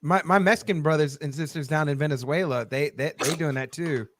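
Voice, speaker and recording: A man's voice: fairly high pitch, fairly quick, fairly bright tone. A man co-hosting a live chat show, teasing and joking playfully. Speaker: podcast co-host. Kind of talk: casual live-stream chat.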